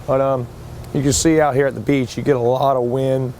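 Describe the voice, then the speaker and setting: Man, fast-talking foreman, flat delivery, outdoors with faint site noise. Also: mid-range voice. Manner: brisk, matter-of-fact, working-crew talk. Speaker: job foreman. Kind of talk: on-site progress update. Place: outdoors at a windy beach-side job site.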